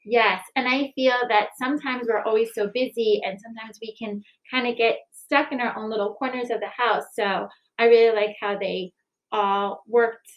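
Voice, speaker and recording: A woman in an expressive, deliberate read-aloud style, like school staff sharing a picture book and news with families. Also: on the high side, poor audio. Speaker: school staff member reading aloud and presenting a recipe book project. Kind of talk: children's storybook read-aloud and school announcement.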